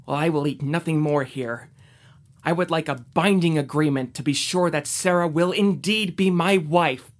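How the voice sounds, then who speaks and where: A man's voice: on the high side, normal speed, natural voice. A man playing an earnest, faithful young suitor of biblical times in an audio drama. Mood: resolute. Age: young adult. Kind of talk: full-cast audio drama acting.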